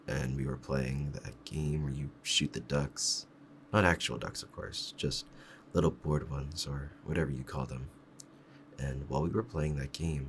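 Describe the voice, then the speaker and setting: A man playing a doting, possessive fox-spirit husband in an intimate ASMR roleplay, talking softly in a rambling, hesitant, lovestruck way. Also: very deep voice, gravelly, slight background noise. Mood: fond, rambling.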